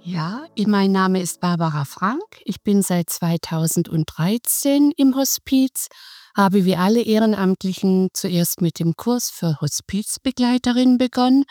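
A middle-aged woman, a guest on a podcast, calmly explaining her volunteer work in measured sentences. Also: mid-range voice, no echo, clean studio sound. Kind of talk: podcast interview.

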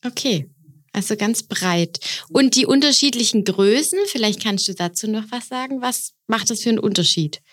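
A woman's voice: on the high side, moderate pace. A woman hosting a podcast interview, asking friendly questions and encouraging her guest. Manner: warm, encouraging, frequent affirming murmurs. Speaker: podcast host and education officer.

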